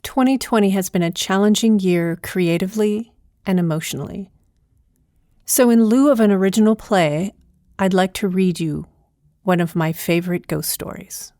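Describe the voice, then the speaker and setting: A woman's voice: mid-range voice, moderate pace, dark tone, dry recording, studio quality. A woman hosting a podcast as herself, talking informally in a hesitant, conversational, wry way. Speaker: podcast creator and host, playing herself. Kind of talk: informal podcast talk in an audio drama.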